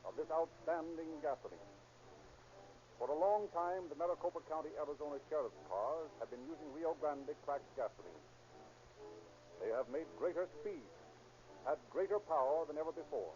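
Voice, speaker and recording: High-pitched 1930s radio announcer man. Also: darkish tone. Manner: emphatic, formal salesman-like delivery. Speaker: narrator and announcer. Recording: muffled vintage 1930s radio recording.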